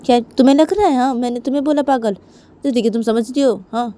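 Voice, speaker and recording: A woman's voice: high-pitched, fast talker, dark tone, pitched above the performer's own voice, close to an everyday voice. Woman acting exasperated, repetitive dinosaur father. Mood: irritated, taunting. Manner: blustering, repeats himself insistently.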